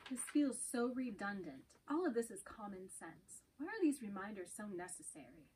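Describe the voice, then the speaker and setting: Weary, cynical schoolteacher, read by a woman, mid-pitched, amateur drama reading with slight background noise. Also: very animated, moderate pace, darkish tone, lightly acted. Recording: faint background noise, clicks.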